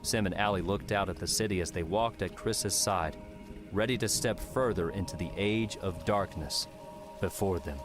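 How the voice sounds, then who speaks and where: A man's voice: sits low, moderate pace, over music. A man narrating scripted fiction as an audiobook narrator.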